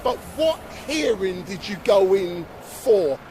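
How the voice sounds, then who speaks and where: Brief: high-pitched male street streamer, pushy interviewer, outdoor phone audio with light traffic noise. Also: expressive, a little mellow. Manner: brisk, interrupting, prompting the guest. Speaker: street livestreamer interviewing people. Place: outdoors on a city street outside a court. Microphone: handheld phone livestream.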